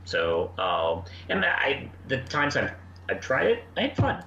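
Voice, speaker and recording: Middle-aged male co-host, animated but halting casual chat, dull echoey lo-fi sound. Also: mid-range voice, vocal fry. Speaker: podcast co-host. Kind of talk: casual review discussion. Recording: muffled, echoey lo-fi connection, faint noise.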